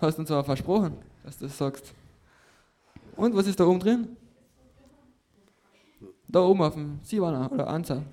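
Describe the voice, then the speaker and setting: A man's voice: on the high side, normal speed, long pauses, mellow. A man, playfully leading a casual group word game and prompting others to speak.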